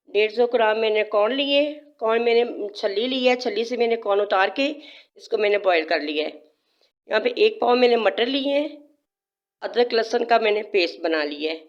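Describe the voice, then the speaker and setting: A woman with a sore throat walks through a recipe step by step, repeating points patiently. Her voice sits a little on the high side. The sound bounces around a roomy home kitchen. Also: dull audio. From Pakistan. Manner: patient, repetitive explaining. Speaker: cooking channel host. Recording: echoey room.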